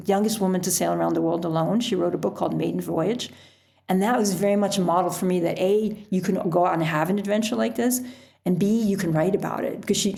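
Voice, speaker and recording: A woman talking casually as an interview guest, telling stories in an animated way with asides. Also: medium pitch, gravelly. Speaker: podcast guest, author.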